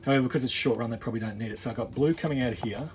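Male, middle-aged, mid-pitched voice, informal hands-on tutorial delivery with restarts, handheld phone sound with clear ambient noise. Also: rapid-fire. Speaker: boat owner giving a DIY walkthrough. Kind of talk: casual off-the-cuff tutorial. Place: on a boat.

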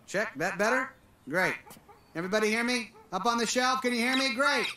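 A man's voice: extremely high pitch, brisk, reverberant, very noisy. An actor playing a friendly, upbeat toy cowboy man who keeps eagerly checking in.

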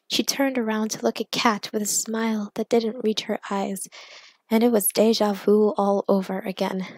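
A woman's voice: a little high, a little mellow, no room sound. A woman reading scripted fiction as the narrator of a story. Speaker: narrator and writer of fan fiction.

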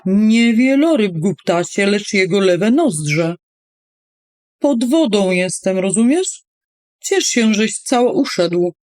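A woman's voice: medium pitch, lively intonation, slow, darkish tone, no room sound, studio quality. Woman voicing a huge grumpy scolding whale. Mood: indignant scolding. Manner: scolding, indignant.

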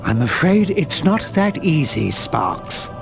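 Gravelly, wise old dragon mentor. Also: male voice, a little high, big pitch swings, heavily acted. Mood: solemn, patient. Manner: slow, measured, grave delivery. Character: wise old male fire dragon mentor, solemn and patient. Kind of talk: dramatic video game cutscene acting. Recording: music behind the voice.

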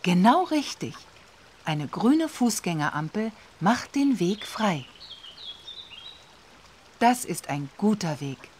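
A woman's voice: medium pitch, sing-song, slow pace, pauses often, a little mellow, a little hiss or hum. A woman voicing an encouraging, watchful guide in a children's game, emphatic and instructive. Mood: approving. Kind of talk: children's educational game voice-over.

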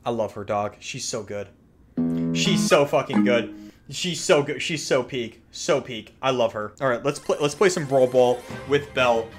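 An excitable young man giving casual, profane, slangy gameplay commentary, repeating himself as he goes. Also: mid-range voice, moderate pace. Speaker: gaming YouTuber. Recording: music behind the voice.

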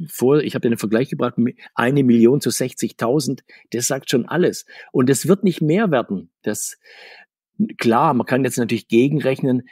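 A middle-aged man with a slightly husky voice answers questions in a loose, rambling way, often breaking off and restarting. His pitch jumps up when he stresses a point. The sound is dry and close, like a video call from an indoor room. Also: a little mellow, no room sound. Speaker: comics author and guest. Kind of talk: conversational interview answers.

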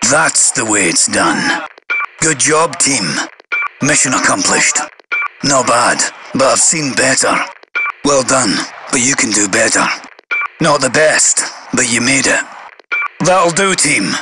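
An actor playing a stern British major general in a video game, giving clipped, commanding orders through a radio filter. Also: male voice, fairly high pitch. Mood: measured approval. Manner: clipped, commanding, formal military brevity. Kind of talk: video game voice-over, military radio announcements. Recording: radio crackle and heavy noise.

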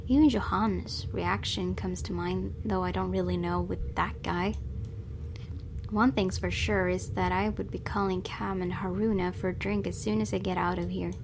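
A woman's voice: lowish voice, steady tone, normal speed, background noise. A woman let's player reading horror game narration aloud, with casual reactions of her own.

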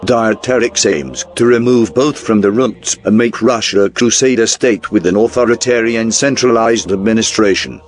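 A man reading scripted documentary narration in a formal, measured newsreel style. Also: fairly low pitch. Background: background music.

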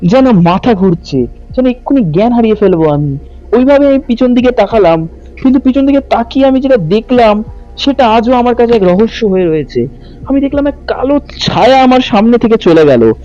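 A man's voice: very high voice, expressive, brisk, dark tone. A man narrating a horror story as a channel host. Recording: muffled lo-fi audio with music behind. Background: music bed.

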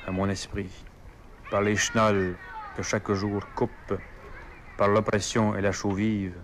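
Low, even-toned man, noisy recording. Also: unhurried. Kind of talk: spoken poetry recital.

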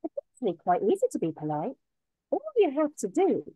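A woman's voice: fairly high pitch, wildly swooping pitch, brisk, mellow, breathy edge, no echo, full character voice. A woman on a video call reading the part of a polite but mischievous young woman, speaking wryly. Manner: wry, playful.

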